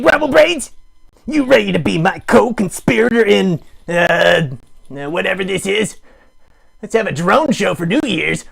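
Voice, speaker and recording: A man voicing a needy, melodramatic puppet streamer, theatrical, sarcastic and mock-grand, with a gravelly, husky voice, improvising a comic livestream. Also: sits high, fairly bright tone, full character voice. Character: melodramatic, needy puppet live streamer with big wry bravado. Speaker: host of a live puppet show stream.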